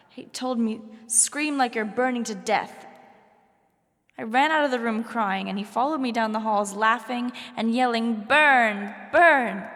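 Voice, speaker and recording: Fantasy drama casting: melancholy young noble bride, high pitched with gravelly edges, confessional delivery. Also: female voice, fairly bright tone, long reverb tail. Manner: formal, confessional, haunted. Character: troubled young highborn bride, fearful and melancholy. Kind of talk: fantasy audio drama acting.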